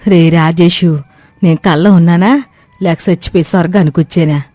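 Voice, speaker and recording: A woman voicing a loud, dramatic older village mother-in-law who scolds and complains in rustic speech for a cartoon story. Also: lowish voice, fairly even intonation, moderate pace, dry recording, faint music behind, lightly acted. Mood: amazed. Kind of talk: animated moral story cartoon dubbing.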